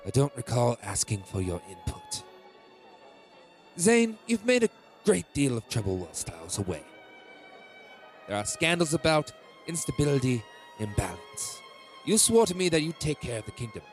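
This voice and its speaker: A man's voice: mid-range voice, big pitch swings, moderate pace, close to an everyday voice. A man acting as a cold, domineering middle-aged king in a fantasy audio drama, commanding and contemptuous. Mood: cold, threatening. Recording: music behind the voice.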